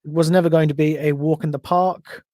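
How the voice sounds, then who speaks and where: A man's voice: on the high side, steady tone, fairly quick, mellow, dry recording, studio quality. An Australian man hosting a solo podcast livestream, chatting casually and rambling with frequent self-corrections. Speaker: podcast host.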